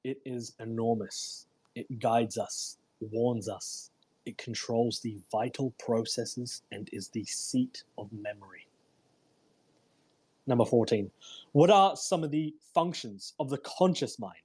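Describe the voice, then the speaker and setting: A man with a husky voice hosting a read-aloud, delivering a book in an emphatic, deliberate way with informal commentary. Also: mid-range voice, a little mellow, poor audio. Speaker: host reading a self-help book aloud. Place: live online audio room broadcast.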